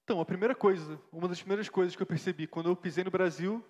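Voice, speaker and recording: A man acting as an earnest young missionary pastor with a fairly high voice, recalling his mission in a reflective, devoted way and often checking in with his listener. His voice rings with the long reverb of a church hall. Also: racing. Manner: earnest, reflective storytelling with frequent tag questions. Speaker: interviewee in a church play. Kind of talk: staged interview, church theatre.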